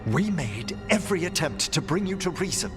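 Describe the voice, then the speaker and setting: Courtly older scholar mage, earnest game performance, precise diction, lively intonation, music behind. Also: male voice, fairly high pitch, a little fast. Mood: earnest, resolute. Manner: refined, formal, precise diction. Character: courtly, earnest, learned older male necromancer professor. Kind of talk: video game voice acting.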